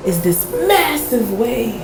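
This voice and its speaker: A woman playing a dramatic, awestruck storm survivor, a fisher, in a radio play with drawn-out, emphatic delivery. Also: on the high side, very animated, gravelly, full character voice. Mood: dramatic, awed. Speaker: voice actor. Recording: echoey, with music underneath.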